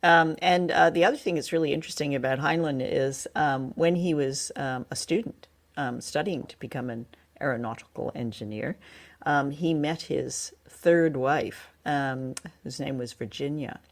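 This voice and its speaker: A woman's voice: deep voice, normal speed. A woman co-hosting a podcast, chatting casually and explaining things with hesitant phrasing. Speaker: podcast co-host. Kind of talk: casual review chat.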